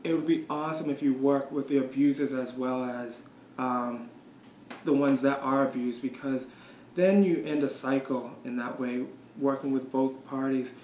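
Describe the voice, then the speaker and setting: A young man working at a shelter, answering interview questions casually and softly, with many "like" fillers. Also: mid-range voice, steady tone, normal speed, a little hiss or hum. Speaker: shelter staff member. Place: small indoor office room. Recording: echoey room with faint ambience.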